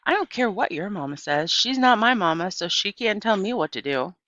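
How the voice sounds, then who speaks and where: A woman's voice: mid-range voice, lively intonation, brisk, a bit bright, dry recording, highs cut off, voice pushed up, close to an everyday voice. A woman reading the part of a stubborn, indignant young girl, a preacher's daughter in a storybook. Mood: angry, defiant. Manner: indignant, defiant.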